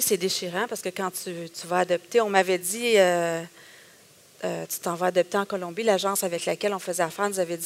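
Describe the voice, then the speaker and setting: A woman's voice: lowish voice, creaky. A middle-aged woman with a Québécois accent, telling her personal story as a guest in a conversation, with many hesitations and quoted thoughts. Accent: Québécois French.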